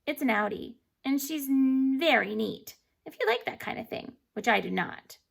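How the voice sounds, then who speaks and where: A woman reading a children's chapter book aloud in an animated, emphatic way, stumbling over words now and then. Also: sits high, moderate pace, gravelly. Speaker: storybook reader.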